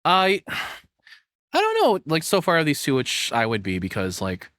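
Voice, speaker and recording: A man's voice: fairly high pitch, huge pitch swings, measured pace, fairly bright tone, no room sound, clean studio sound. A man, probably in his thirties, streaming casual reaction commentary in a candid, self-deprecating, rambling way.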